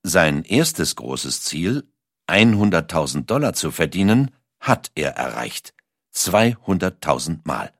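A man reading scripted documentary narration with a measured, dramatic storytelling delivery. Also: sits low, big pitch swings, no room sound, studio quality.

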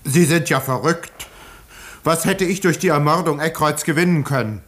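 An actor playing a guarded, sceptical village doctor in a 1950s radio play, putting cool, clipped questions. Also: male voice, on the high side, normal speed, a bit bright, natural voice. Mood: defiant.

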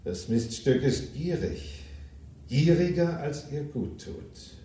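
A man's voice: fairly high pitch, sing-song, slow pace, mellow, recorded from a distance, a little hiss or hum. An actor in a German crime radio drama playing a cynical, ambitious self-made businessman, blunt and coarse, with theatrical emphasis. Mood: scheming, determined. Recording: echoey room, off the microphone.

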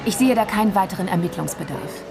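A brisk prosecutor in a radio drama. She speaks rapidly and dismissively, matter-of-fact and challenging, over music. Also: mid-range voice, animated, vocal fry.

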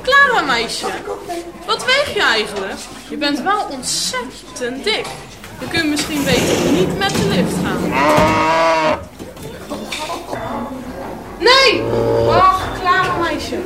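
An actress playing an eager, trusting woman in a radio play, coaxing and insistent in an excitable way. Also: extremely high pitch, takes it slowly, over a noisy crowd, heavily acted. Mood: excited. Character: eager, trusting young woman following a cow. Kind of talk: Dutch radio play acting. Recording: roomy, noisy radio play recording with clicks.

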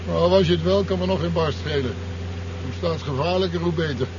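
An actor playing a cheeky, rebellious truck driver in a radio drama, with a coarse, slangy, mocking way of talking. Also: male voice, fairly quick, close to an everyday voice. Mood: gruff, chatty. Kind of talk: 1970s radio drama acting. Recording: dull, noisy 1970s radio recording, engine rumble. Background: vehicle engine noise.

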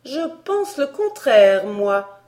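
A woman's voice: a little high, lively intonation, measured pace, a little mellow, pitched above the performer's own voice. A woman voicing a sour, indignant, paranoid governess in a storybook, with a theatrical, scolding manner. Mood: anxious, insistent.

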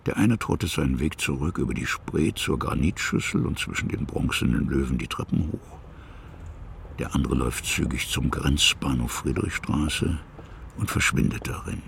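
A man reading literary scripted narration in a measured way, with a gravelly, husky voice and pauses between short phrases. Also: rumbling low, a bit bright, a little hiss or hum.

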